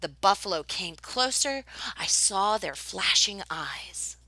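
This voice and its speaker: A woman reads a children's storybook with a bright voice and lively, swooping intonation. She takes her time over the lines and plays up the drama, with a close, dry sound. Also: mid-range voice, takes it slowly, no echo, poor audio. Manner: dramatic, with whispered suspense and excited exclamations. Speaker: teacher reading a picture book aloud. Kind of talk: expressive children's storybook read-aloud.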